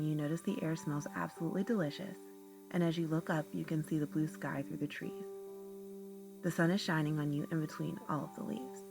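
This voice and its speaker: A woman guiding a relaxation meditation, speaking in a slow, soothing, soft-spoken way. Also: fairly low pitch. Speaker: meditation guide. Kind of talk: guided meditation narration.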